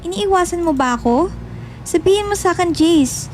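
A woman's voice: very high voice, animated, moderate pace, slight background noise. A woman acting a lovesick young college girl in a radio drama, clingy, whiny and insistent. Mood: hurt, insistent. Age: young adult. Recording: music bed under the voice.

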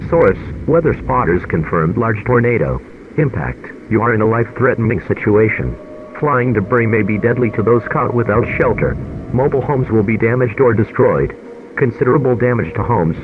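Through a narrow phone-like channel with loud noise, a man plays a male emergency alert announcer. He reads a scripted weather warning in a formal, measured manner at middling pitch. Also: natural voice.

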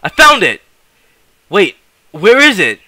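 An agitated young runaway, acted by a man, pitched high with a very bright, sharp edge. He pushes his words out urgently and defiantly in a close, dry recording, like a fast dramatic audition. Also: wildly swooping pitch, no room sound, heavily acted.

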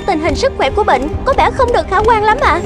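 A woman playing a young, respectful hospital nurse in an animated drama, speaking urgently. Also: extremely high pitch, fast talker, music in the background. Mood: urgent, worried. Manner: urgent, deferential.

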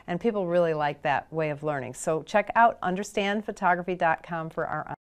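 A woman's voice: fairly low pitch, a little fast, clear, resonant tone. A middle-aged woman from Michigan hosting a photography show, chatting upbeat and promoting her course in a studio on a lapel mic. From Michigan, USA. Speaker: podcast host and photography teacher. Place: studio with dark backdrop.

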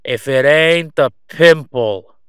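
A man's voice: a little high, slow, a bit bright, smooth, no room sound, studio quality. A man playing a cocky, wisecracking crime boss with sneering, folksy gangster patter in an audio roleplay. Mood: defensive, sneering.

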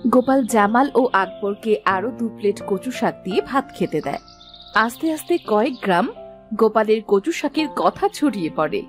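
Female storyteller, fairly high voice, lively intonation, scripted narration, music underneath. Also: normal speed.

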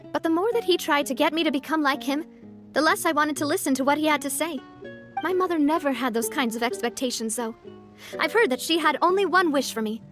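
A gracious young female leader from an animated game. The voice is high and gentle, earnest and cheerful, telling things in a measured way over faint music. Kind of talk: animated video game voice acting. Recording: soft music behind the voice. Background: soft game music.

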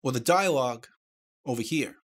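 A man presenting a software tutorial, explaining things step by step. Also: medium pitch, expressive, moderate pace.

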